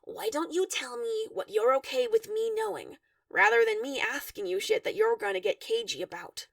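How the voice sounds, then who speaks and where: A baffled, outspoken teenage boy voiced by a woman in a story reading, frank and questioning. The voice has creak and varied pitch. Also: big pitch swings, moderate pace, dry recording, clean studio sound, recorded from a distance, full character voice. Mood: hesitant, frank.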